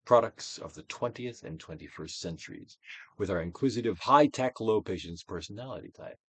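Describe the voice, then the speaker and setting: Male dramatic narrator, low register, highly animated intonation, theatrical and solemn audiobook read. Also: moderate pace, husky, dry recording. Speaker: actor reading a book aloud. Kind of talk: dramatic audiobook reading, theatrical Shakespearean delivery.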